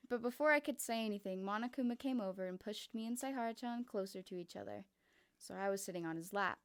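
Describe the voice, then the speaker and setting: A woman reading a first-person fan fiction story aloud, in a quick, lively narration. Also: mid-range voice.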